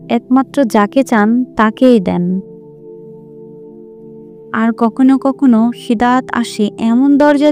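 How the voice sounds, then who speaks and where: A woman reading a scripted inspirational story as narrator, in measured, deliberate phrases. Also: on the high side, darkish tone. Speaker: narrator of religious stories.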